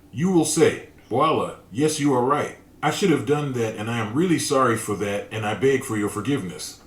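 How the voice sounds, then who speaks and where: A man giving a calm, measured scripted religious narration, reading out an imagined dialogue. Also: mid-range voice, roomy sound.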